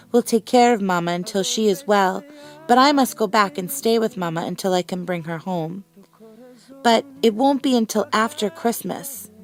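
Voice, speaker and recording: A woman voicing a tired, worried village father in a storybook, tender, serious and measured. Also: mid-range voice, close to an everyday voice.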